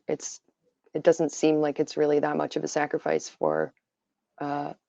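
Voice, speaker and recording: A tired woman with a raspy voice, giving her opinions on a casual livestream full of fillers. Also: low voice, mellow, no echo. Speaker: livestream host. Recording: dull lo-fi stream audio.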